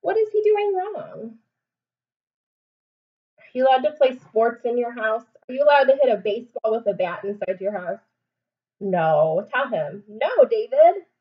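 A woman in her twenties reading aloud to young children like a teacher, asking them simple questions in an animated way. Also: fairly high pitch, moderate pace, pauses often, slightly rough. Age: 20s. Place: small home room, indoors. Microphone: webcam or laptop mic at arm's length. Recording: dull webcam sound, distant mic.

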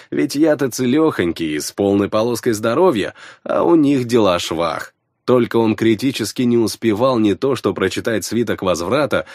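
A man narrating fiction in the first person, scripted and expressive, on a close studio mic. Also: on the low side, no room sound. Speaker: audiobook narrator. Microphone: close studio microphone.

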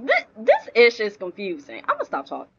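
A woman's voice: a little high, huge pitch swings, fairly quick, a bit bright. Young female reaction streamer, slangy and blunt, swooping intonation, dull lo-fi mic with faint noise. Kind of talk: casual reaction commentary. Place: indoors, dim room, on webcam.

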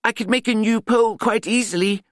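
A man voicing a gentle, earnest young male misfit in an audiobook, precise, formal and apologetic. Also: high-pitched, steady tone, brisk, no echo, studio quality, voice pushed up. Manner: precise, formal, overly polite.

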